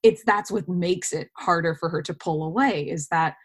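A woman's voice: fairly low pitch, normal speed. A woman speaking casually as an actor being interviewed, telling stories and voicing her own thoughts as quotes.

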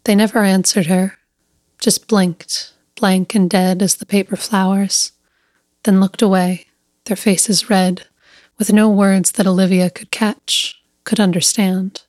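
A woman reading a scripted literary story as narrator, measured and deliberate, pausing between short phrases. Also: medium pitch, flat delivery, darkish tone, no room sound, studio quality.

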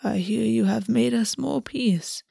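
Woman voicing a hushed, brooding fantasy prince. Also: on the high side, measured pace, vocal fry, slightly rough, no room sound, exaggerated voice. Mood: grave. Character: brooding male prince, cold and measured.

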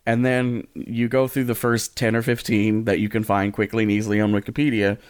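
A man's voice: lowish voice, little pitch movement, fairly quick, clean tone, dry recording, clean studio sound. A man co-hosting a podcast, chatting casually and rewording his thoughts as he thinks aloud. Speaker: podcast co-host.

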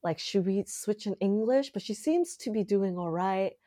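A woman's voice: medium pitch, normal speed, warm tone. A young woman from Canada, a playwright, talking casually in an interview with many filler words. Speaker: playwright guest. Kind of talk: conversational interview.

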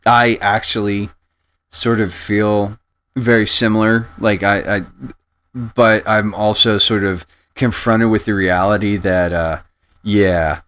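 A man's voice: fairly low pitch, fairly even intonation, slow, a bit bright, smooth. A man co-hosting a casual podcast chat, who talks in a laid-back, jokey way and halts with many fillers. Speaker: podcast co-host.

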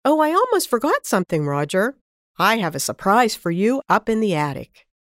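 A woman voicing a kind, busy young mother in a children's storybook, speaking gently and fondly. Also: medium pitch, very animated, normal speed, dry recording, studio quality.

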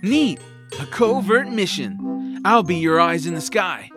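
An actor playing a steady, protective flying sidekick to a hero in a fantasy audio drama. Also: male voice, high-pitched, unhurried, music in the background. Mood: alert.

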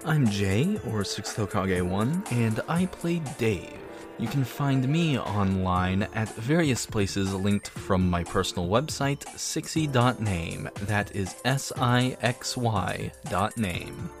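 A man's voice: lowish voice, lively intonation, slow, hardly any pauses, music in the background. A man, a voice actor, giving his credit and carefully spelling out his web address.

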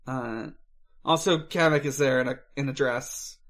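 A man co-hosting a podcast, casually recapping a film in a halting way, searching for words. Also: mid-range voice, fairly bright tone. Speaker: podcast co-host.

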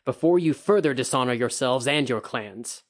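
A man's voice: medium pitch, expressive, normal speed, natural voice. A man voicing a tired, proud samurai who speaks tersely and commandingly. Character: weary, proud young samurai man, impatient.

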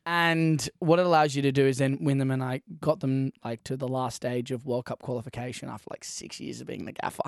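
A man's voice: mid-range voice, flat delivery, a little fast, creaky, few pauses, no echo, clean studio sound. A man co-hosting a podcast in casual chat, rambling through anecdotes and laughing as he goes. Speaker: podcast co-host.

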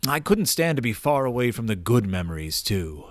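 An actor playing an elderly widowed grandfather in an audio drama, courteous and old-fashioned, wistful with a gruff, wry edge. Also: mid-range voice, sing-song, normal speed, dry recording, clean studio sound, lightly acted. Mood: wistful, reflective. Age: older.

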